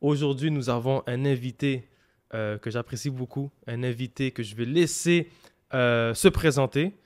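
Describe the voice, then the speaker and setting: A man with a Québécois French accent hosts a casual podcast. His voice has an ordinary mid-range pitch. He speaks informally and often restarts his sentences. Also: moderate pace. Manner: informal, hesitant, many fillers and restarts. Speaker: podcast host.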